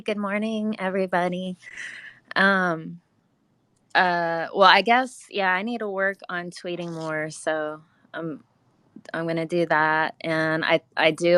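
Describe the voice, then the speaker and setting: A woman speaks in a low, smooth voice with a very dry, close sound. She chats casually as a guest, hesitant and modest about herself. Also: a bit bright, no echo. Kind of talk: casual, unscripted chat.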